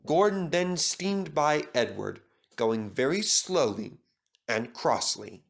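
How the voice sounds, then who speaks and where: A man's voice: fairly high pitch, big pitch swings, slow, gravelly. A man reading a children's story aloud as a scripted narrator.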